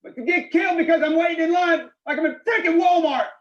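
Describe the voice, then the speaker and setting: Desperate climber, very high, echoey. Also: male voice, normal speed, fairly bright tone, dull audio. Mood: angry. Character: desperate, exhausted male climber in danger. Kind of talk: tense film drama acting.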